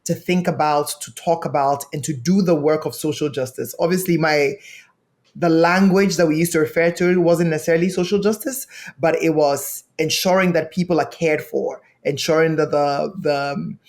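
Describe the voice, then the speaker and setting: A young man from Ghana, a podcast guest, telling his life story in an earnest, measured way. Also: fairly high pitch, fairly even intonation.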